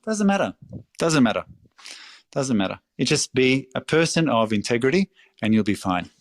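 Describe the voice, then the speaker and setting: A middle-aged Australian restaurateur talking in a casual interview, passionate and emphatic, swearing freely. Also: male voice, mid-range voice, big pitch swings, moderate pace, no echo. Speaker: restaurateur guest.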